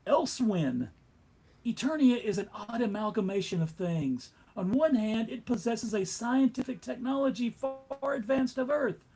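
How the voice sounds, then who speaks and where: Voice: mid-range voice, lively intonation, moderate pace, mellow, husky, low-quality recording. A narrator reading scripted comedic narration in a wry, theatrical manner.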